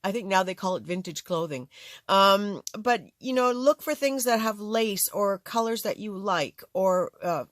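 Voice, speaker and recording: An older woman with a slightly raspy voice hosting a casual, rambling craft tutorial, full of ums and asides. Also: medium pitch, no echo, studio quality. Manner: rambling, chatty, many fillers. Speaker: craft channel host.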